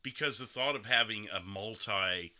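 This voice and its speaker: Male streamer-host, deep pitch with a sharp, forceful edge, thinking aloud in relaxed chat, dry sound. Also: moderate pace, no echo. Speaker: podcast host and game streamer. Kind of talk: casual podcast chat.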